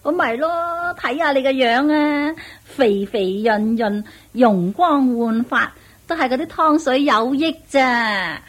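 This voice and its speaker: A woman's voice: sits high, animated, slow, full character voice. An actress playing a doting but easily exasperated female companion in a radio drama, fussing and cajoling as she talks. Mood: coaxing, doting. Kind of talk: Cantonese radio drama acting.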